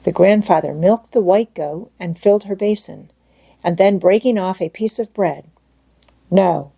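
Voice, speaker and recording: A woman's voice: mid-range voice, moderate pace, vocal fry, dark tone, slightly rough. A woman reading scripted storybook narration as an audiobook narrator.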